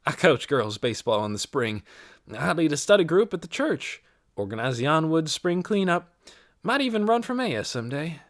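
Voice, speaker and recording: An older small-town busybody woman played by an audiobook narrator, brisk and self-righteous. The delivery is emphatic and folksy, swinging widely in pitch as it preaches. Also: big pitch swings, no echo, studio quality. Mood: brisk, proud. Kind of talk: audiobook character voice.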